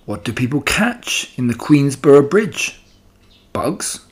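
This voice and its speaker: A man's voice: mid-range voice, big pitch swings, slow pace, breathy edge, voice pushed up, full character voice. A man voicing an eager, naive young male pig, earnest and childlike, in a children's storybook. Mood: curious.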